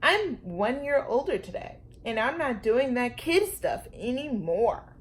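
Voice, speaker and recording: A plucky little storybook girl, voiced by a woman reader, lifted in pitch and self-assured. She speaks with childlike dignity, earnest and a touch bossy. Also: sing-song, unhurried. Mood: dignified. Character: spirited, headstrong little girl turning polite and dignified.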